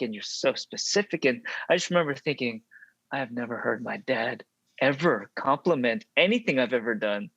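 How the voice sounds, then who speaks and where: A young man from Texas talks through his early life in a casual interview, reflective and full of small hesitations. His voice sits at a middle pitch. The recording is dry and slightly dull. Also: a bit bright, no room sound. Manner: reflective, many ums and restarts. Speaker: actor, podcast guest.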